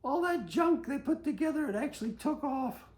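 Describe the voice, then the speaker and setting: A woman's voice: high voice, expressive, normal speed, a little mellow. A woman reading a children's picture book aloud, breaking off to chat and put questions to her young listeners. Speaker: storybook reader.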